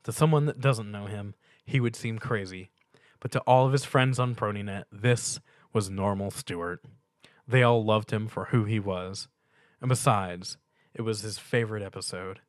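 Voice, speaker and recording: A man's voice: lowish voice, normal speed, dry recording, clean studio sound. A man narrating a scripted fan fiction story as a dramatic reading.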